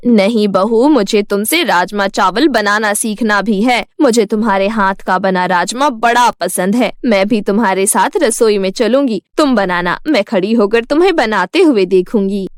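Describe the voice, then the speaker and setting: A woman's voice: mid-range voice, moderate pace, few pauses, no echo, studio quality, pitched below the performer's own voice, lightly acted. A woman voicing a stern, scolding older mother-in-law in a bossy, emphatic way. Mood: pleased, insistent.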